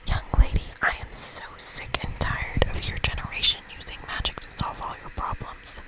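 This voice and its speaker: A woman's voice: normal speed, lightly acted, poor audio. Woman playing a whispering, officious camp director. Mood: scolding. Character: strict, scolding female camp director, older generation.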